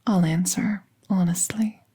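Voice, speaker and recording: A woman playing a gentle, playful fae veterinarian in a soft, soothing ASMR roleplay, with breathy, whispery speech and formal wording. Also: lowish voice, fairly even intonation, a little slow. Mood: gentle, wry. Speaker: audio roleplay performer.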